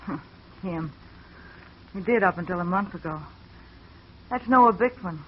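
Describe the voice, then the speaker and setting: A woman's voice: lowish voice, unhurried, a little hiss or hum, lightly acted. A woman playing a sullen, guarded young woman on a remote farm in a 1940s radio drama, curt in manner, on a muffled old recording.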